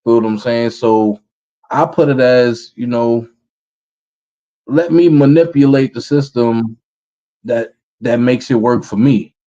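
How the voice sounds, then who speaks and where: A man in his thirties with a New York accent, a rapper answering interview questions in a relaxed way. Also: mid-range voice, slow pace, long pauses, highs cut off. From Brooklyn, New York, USA. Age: 30s. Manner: relaxed, repeats "feel what I'm saying". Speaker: rapper guest.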